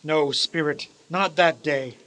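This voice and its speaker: A man's voice: a little high, slow pace, a bit bright. A man playing an anguished old miser in a radio play, pleading and dramatic. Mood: troubled. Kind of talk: live-audience radio play acting.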